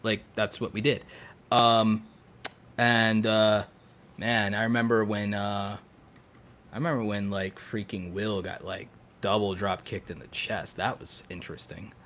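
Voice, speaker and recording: A man chatting casually as a podcast co-host, telling stories in an animated, geeky, emphatic and joking way. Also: lowish voice, unhurried, a little hiss or hum. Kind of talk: casual chat.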